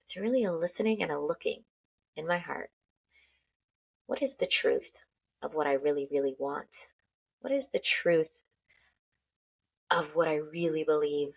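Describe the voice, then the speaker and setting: A woman with a fairly low voice leads a guided breathing meditation. She speaks slowly and softly, narrating each breath in the first person as though she's doing it alongside the listener. Her tone stays calm and unhurried throughout. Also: vocal fry, husky.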